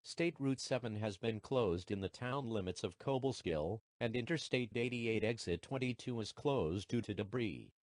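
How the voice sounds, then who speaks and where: A man voicing an official emergency alert announcer, formal and measured, reading a scripted broadcast message. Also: mid-range voice, darkish tone, dry recording, clean studio sound, close to an everyday voice. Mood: formal, impersonal.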